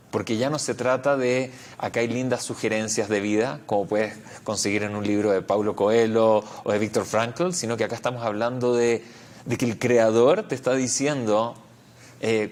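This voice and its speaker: A man with a Chilean accent speaks on the low side as an interview guest. He is a rabbi who explains things reflectively, often repeating a word while he finds the next phrase. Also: steady tone, moderate pace, a little mellow.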